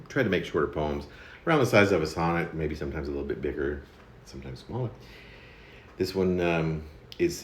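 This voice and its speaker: A man's voice: very deep voice, echoey room. A man reading his own poetry aloud in a conversational, wry, wordy and theatrical way. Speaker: poet reading own poem. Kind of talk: spoken-word poetry reading with informal introduction.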